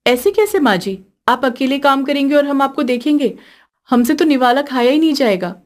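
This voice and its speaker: A woman's voice: sits high, lively intonation, moderate pace, pitched above the performer's own voice. A woman voicing a caring, fretful young village daughter-in-law in a cartoon story, earnest and emphatic. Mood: protesting.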